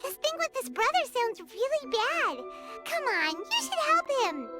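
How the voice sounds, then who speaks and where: A woman voicing a tiny, excitable fairy companion in a video game, with a squeaky voice and a pushy, blunt way of talking. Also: unhurried, over loud music, exaggerated voice. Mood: pleading. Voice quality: squeaky high voice.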